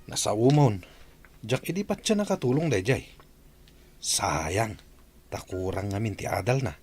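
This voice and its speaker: A man playing a curious, regretful gossiping companion in a radio comedy drama, with a husky voice. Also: on the low side, animated, a little slow, darkish tone, a little hiss or hum.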